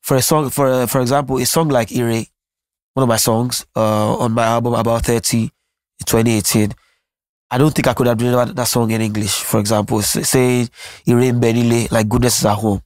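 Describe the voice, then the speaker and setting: Earnest Nigerian man, steady flat delivery. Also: mid-range voice, brisk, no room sound, studio quality. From Nigeria (Lagos). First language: Yoruba. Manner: earnest, reflective, frequent restarts. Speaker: musician guest. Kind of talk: candid interview conversation.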